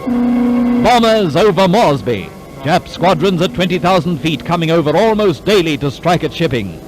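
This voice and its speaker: Dramatic 1940s newsreel man, high-pitched. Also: animated, normal speed, poor audio. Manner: dramatic, clipped, declamatory. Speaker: newsreel narrator. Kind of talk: 1940s wartime newsreel narration, scripted. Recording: 1940s newsreel soundtrack, music behind. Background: orchestral newsreel music.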